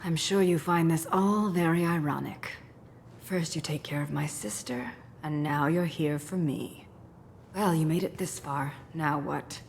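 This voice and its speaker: Low-voiced, bitter woman crime boss. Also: unhurried, vocal fry, slight background noise. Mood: bitter, accusing. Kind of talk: video game voice acting.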